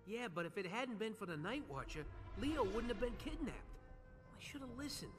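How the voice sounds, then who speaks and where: A tough, hot-headed turtle brother from a game, gruff and blunt. His delivery swings freely in pitch, with a long reverb and music behind. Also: very animated, normal speed. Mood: regretful.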